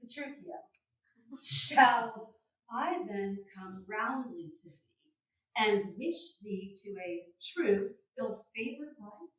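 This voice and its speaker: A woman playing a genial, excitable, lovestruck gentleman in a Shakespearean staged reading, with animated theatrical verse. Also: mid-range voice, takes it slowly. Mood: friendly, teasing. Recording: echoey room, distant microphone.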